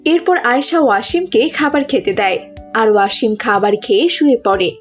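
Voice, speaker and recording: A woman's voice: a little high, expressive, normal speed, faint music behind. A woman reading scripted narration for a cartoon story.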